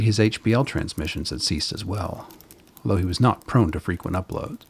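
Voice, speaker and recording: A man narrating a scripted radio drama in a measured, literary manner, with a gravelly, husky voice. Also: sits low, no echo.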